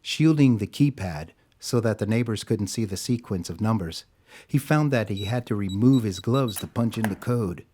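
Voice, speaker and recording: A man narrating a scripted audio drama as a measured, literary storyteller. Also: fairly low pitch, expressive, warm tone, slight background noise.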